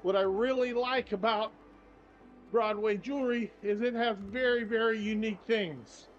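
A man's voice: high voice, steady tone, normal speed. An older man giving a casual walking tour of shops, chatty and upbeat, recorded on a handheld camera while he walks. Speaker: travel vlogger and tour guide. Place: shops and streets of a small town, indoors and out. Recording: slightly dull sound, faint music behind.

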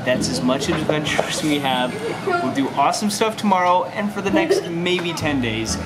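A man's voice: fairly high pitch, lively intonation, normal speed, heavy background noise. A man in his thirties, a family vlogger, joking and chatting to a handheld camera while traveling, tired from the trip. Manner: playful, joking, talks to camera at arm's length. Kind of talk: casual travel vlog. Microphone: camera at arm's length.

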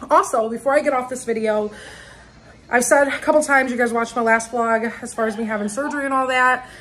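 A woman in her thirties, a mother of three, chatting casually and rambling to a camera held at arm's length in her home. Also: on the high side. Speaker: vlogger, single mom of three. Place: home dining area indoors. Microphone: phone or camera at arm's length.

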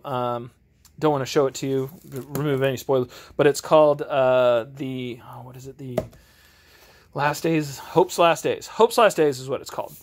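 A man reviewing tabletop games in a casual, off-the-cuff way, halting and often interrupting himself as he rambles along. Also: mid-range voice. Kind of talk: casual off-the-cuff product walkthrough. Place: home game garage, indoors. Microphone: handheld camera, held by the speaker.

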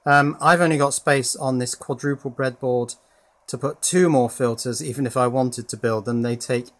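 A man's voice: medium pitch, steady tone, normal speed. A man presenting an informal hands-on electronics demonstration in a matter-of-fact, explanatory way.

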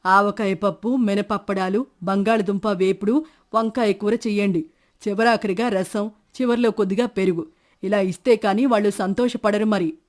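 A woman's voice: mid-range voice, steady tone, normal speed, close to an everyday voice. A woman voicing a stingy, self-righteous middle-aged village man who wheedles and argues in a moral story. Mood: indignant. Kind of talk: dramatised moral story narration.

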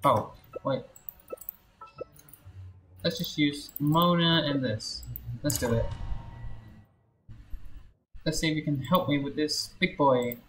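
A woman's voice: sits low, slow pace, pauses often, soft background music. A woman game streamer chatting casually and playfully with viewers while playing on a livestream. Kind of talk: casual livestream gameplay chat. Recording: roomy echo, faint background noise.

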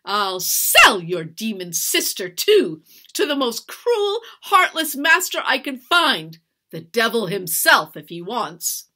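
A woman voicing a haughty, cruel mistress of a household in a storybook reading, imperious and given to shrill, emphatic outbursts. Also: sing-song, slow, sharp tone, full character voice. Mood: furious. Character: haughty, cruel, raging slave-owning mistress.

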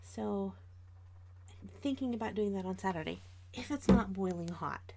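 Chatty American woman with a creaky voice. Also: mid-range voice, normal speed, mellow. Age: about 40. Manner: chatty, informal, addressing viewers directly. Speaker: host of an art livestream. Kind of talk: casual livestream chat while drawing.

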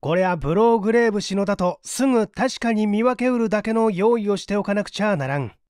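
A man voicing a methodical gentleman detective in an audiobook, assured and analytical as he explains. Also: sits high, expressive, fast, no room sound, studio quality. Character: confident, methodical gentleman detective in a mystery story.

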